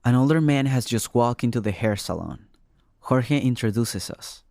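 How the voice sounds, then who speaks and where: A man's voice: medium pitch, animated, normal speed, creaky, darkish tone, no room sound, studio quality. A man giving scripted first-person narration as a podcast reporter. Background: music.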